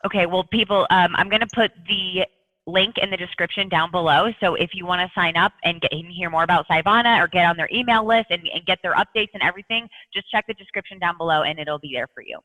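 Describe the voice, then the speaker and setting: A man's voice: high voice, quick pace, sharp tone, rough recording. A man hosting a podcast interview, gushing and enthusiastic with his guest. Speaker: podcast host. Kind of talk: casual interview chat.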